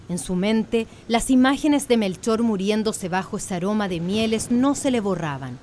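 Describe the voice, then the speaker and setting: A woman's voice: medium pitch, darkish tone, slight background noise. A woman narrating a radio drama in a literary, scripted style. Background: plucked guitar music.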